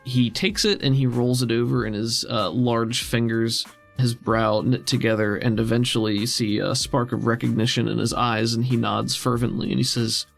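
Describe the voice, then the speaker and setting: A man narrates a tabletop game as its game master. His mid-pitched voice has plenty of fry, and he shifts between description and casual table talk. Soft music sits underneath. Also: level tone, moderate pace, hardly any pauses. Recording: music behind. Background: background music.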